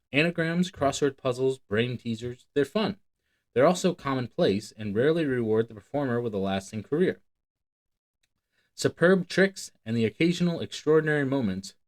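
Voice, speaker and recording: A man hosting a channel, reading a book aloud and breaking off into casual, rambling, emphatic commentary. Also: mid-range voice. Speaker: host of a magic channel.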